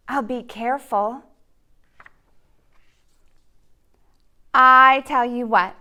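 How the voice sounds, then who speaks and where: A woman's voice: a little high, sharp tone, exaggerated voice. A woman voicing a childlike, wheedling pigeon from a storybook, begging and bargaining with a tantrum streak.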